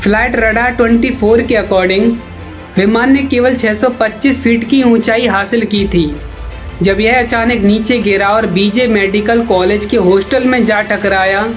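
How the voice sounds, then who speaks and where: A woman's voice: on the high side, fairly even intonation, a little slow. Woman narrating scripted news documentary over music. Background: background music.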